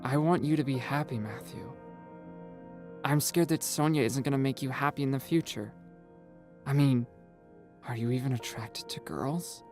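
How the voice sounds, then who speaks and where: A man playing a soft, worried teenage girl. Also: mid-range voice, moderate pace, long pauses, soft background music, close to an everyday voice. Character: gentle, worried transgender teenage girl, tearful.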